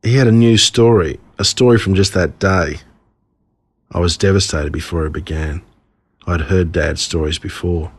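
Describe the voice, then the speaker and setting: An Australian man reading his own humorous stories as scripted narration, in an expressive, wry way. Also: deep voice, moderate pace, slightly rough.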